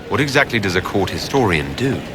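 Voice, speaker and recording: A man's voice: lowish voice, very animated, fairly quick, noisy background. An actor playing a composed, courteous hero in scripted fantasy game dialogue, polite in his phrasing. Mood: courteous, curious. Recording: loud game music behind.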